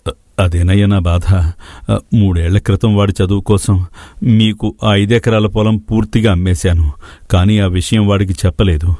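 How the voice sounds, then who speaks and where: A man's voice: on the low side, steady tone, normal speed, mellow, no echo, clean studio sound, natural voice. A man playing an anxious, evasive older village farmer father, hesitant and stammering. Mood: anxious confession.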